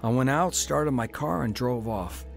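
A man's voice: medium pitch, measured pace, gravelly, soft background music. A man narrating his own story of faith in a dramatized radio testimony, earnest and preacherly.

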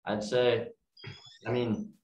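A man's voice: medium pitch, level tone, slow, darkish tone, lo-fi sound. A young man answering questions as a college team captain at a postgame press conference. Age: young adult. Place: press conference room, indoors at a table. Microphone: distant camera mic, face mask on. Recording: echoey room, distant microphone.

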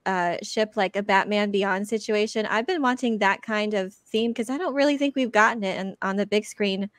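A woman's voice: mid-range voice, moderate pace, no echo, studio quality. A woman chatting casually as a panelist, a little tentative as she thinks aloud. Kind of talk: casual chat.

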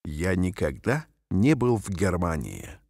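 A man reading short phrases aloud slowly and carefully, each one repeated, for language learners. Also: low voice, very animated, darkish tone, no room sound. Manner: careful, clearly spaced phrases. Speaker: language teacher. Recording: dry studio voice-over.

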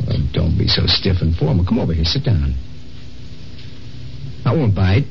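An American actor playing a brash, restless, wisecracking patient in a 1950s radio drama. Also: male voice, sits low, sing-song, normal speed, gravelly, faint music behind, full character voice. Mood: flirtatious, lonesome. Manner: wisecracking, impatient, slangy. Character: brash, restless, lonesome male patient from the past. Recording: muffled, noisy old radio recording.

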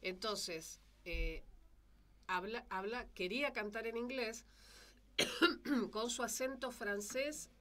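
A young Rioplatense woman, a singer and vocal teacher, talking as a guest in a radio studio. She speaks slowly and unhurriedly, pausing on fillers as she gathers her thoughts, into a close studio mic. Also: a little high, sharp tone. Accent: Rioplatense Spanish. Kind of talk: casual studio chat. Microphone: studio mic with headphones.

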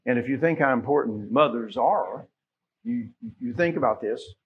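A man's voice: mid-range voice, expressive, normal speed, gravelly, husky. A middle-aged American man, a pastor speaking informally from the pulpit in a folksy, conversational way, putting questions to his listeners. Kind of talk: informal church announcements from the pulpit. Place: small church sanctuary, at pulpit. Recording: dull, muffled church sound recording.

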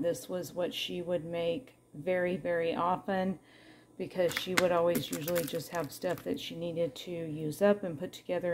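A woman's voice: on the low side, a little mellow, a little hiss or hum. A middle-aged woman casually narrating her home cooking, chatty and homey. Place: home kitchen.

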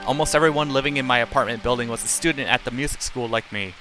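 A man's voice: medium pitch, fast talker, over music. A middle-aged man live streaming, reading game text aloud in a plain, unacted way with casual asides and occasional stumbles.

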